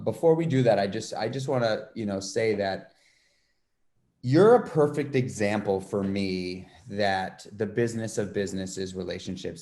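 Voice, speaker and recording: A man's voice: low voice, gravelly, a little mellow. A man from New York City hosting a podcast interview, chatting in a loose, rambling way full of fillers. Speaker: podcast host. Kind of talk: casual interview chat.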